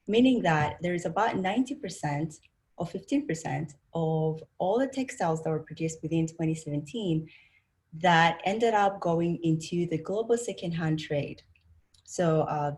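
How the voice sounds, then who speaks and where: A woman from Rwanda giving an academic presentation over a video call, speaking in a measured way with frequent pauses and fillers. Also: fairly low pitch, a little mellow. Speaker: presenter, design instructor.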